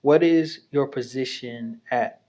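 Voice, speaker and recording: A man hosts a podcast interview in an easy, talkative way. He keeps checking in with his guest through tag questions and encouraging remarks. His voice sits in a middle pitch. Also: slow pace, mellow. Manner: chatty and encouraging, with many "right?" and "you know" tag questions. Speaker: podcast host. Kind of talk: casual interview hosting.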